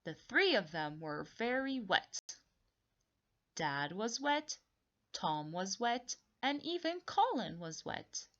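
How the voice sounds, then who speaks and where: A woman reads a picture book aloud for young children at an unhurried, deliberate pace. Her pitch moves up and down a great deal as she tells and simply explains the tale. The recording sounds slightly muffled and lo-fi. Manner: expressive, deliberate, repeats and explains for young listeners. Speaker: storybook reader for children. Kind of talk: read-along storytelling with simple explanations.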